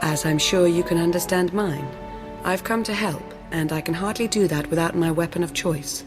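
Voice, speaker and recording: Low, poised sorceress played by a woman, reverberant. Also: moderate pace, natural voice. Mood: cool, guarded. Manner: formal, polite, self-assured. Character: poised, composed female Sith Lord. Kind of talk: video game voice acting. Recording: long reverb, faint music. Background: music.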